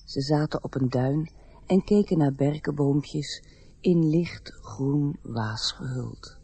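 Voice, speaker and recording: Fairy-tale storyteller, radio drama: reflective, slightly rough-edged voice, measured delivery, close dry sound. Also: female voice, sits low, warm tone, dry recording, heavily acted. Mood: melancholy. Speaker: narrator. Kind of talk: scripted radio play narration.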